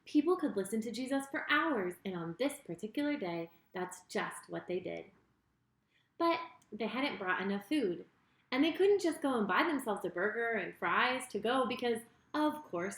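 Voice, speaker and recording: A woman reading a children's story in an expressive, deliberate way, speaking directly to her young listeners. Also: a little high. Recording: roomy, echoing sound.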